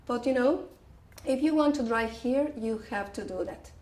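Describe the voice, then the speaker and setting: Woman from mexico, higher voice, chatting to the crowd, sounds far away in an echoey room. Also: moderate pace, a little mellow. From Mexico City. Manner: chatty, addressing the audience directly. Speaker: storyteller. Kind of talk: personal story told to a group. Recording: roomy, distant from the mic.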